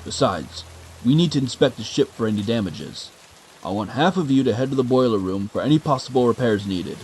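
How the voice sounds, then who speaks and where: A man's voice: medium pitch, expressive, brisk, darkish tone, slight background noise, natural voice. A man voicing a commanding, possessive ship's captain in an audio drama, speaking with authority. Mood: stern, forceful. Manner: authoritative, barking orders.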